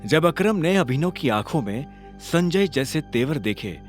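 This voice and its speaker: Animated male storyteller with music behind. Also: a little high, unhurried. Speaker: story narrator. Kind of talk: dramatic scripted narration.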